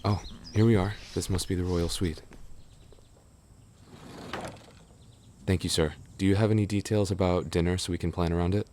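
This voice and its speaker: A man playing a devoted, easily flustered young knight in an intimate roleplay audio drama, speaking politely and haltingly with soft attentiveness. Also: deep voice, fairly even intonation, slight background noise, natural voice. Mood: attentive.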